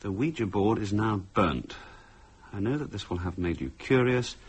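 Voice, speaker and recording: A dry, inquisitive British husband in a radio play. The voice is husky and on the low side, with lively intonation and a brisk, wry manner. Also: male voice. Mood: wry, decisive. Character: dry, brisk, curious husband eager for answers. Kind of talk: British radio drama acting.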